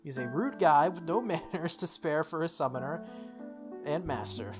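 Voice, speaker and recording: A let's player of unclear sex reading a visual novel aloud, animated, breaking off for casual asides. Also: mid-range voice, normal speed. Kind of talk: gameplay commentary and dramatic read-aloud. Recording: music behind the voice. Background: music.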